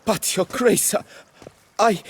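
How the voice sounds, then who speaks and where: A man's voice: high-pitched, takes it slowly, husky, full character voice. An actor playing a proud but servile nobleman winemaker in a video game, speaking formally and with deference. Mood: nervous. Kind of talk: dramatic video game acting.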